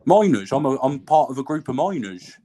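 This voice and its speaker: A man's voice: mid-range voice, big pitch swings, dark tone, close to an everyday voice. A man in his forties calling into a live show, putting on a northern English miner's accent and trolling the hosts. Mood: provoking. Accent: put-on northern English. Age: 40s. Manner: provocative, trolling, quick-talking. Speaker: caller. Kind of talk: prank call on a live show.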